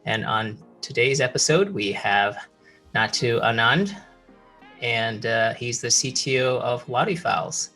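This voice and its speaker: A man's voice: medium pitch, unhurried, bright, forceful tone, faint music behind. A man hosting a founder interview podcast, eager and upbeat as he asks questions. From Malaysia. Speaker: podcast host.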